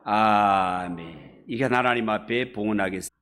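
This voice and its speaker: A man's voice: fairly low pitch, normal speed, a bit bright. A man preaching an expository sermon to a congregation as a pastor, with emphatic rises and falls of stress. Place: church service.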